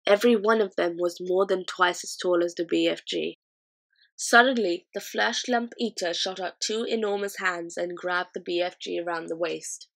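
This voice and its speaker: Expressive woman reading a storybook. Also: on the low side, moderate pace, vocal fry. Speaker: audiobook reader. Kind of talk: storybook narration.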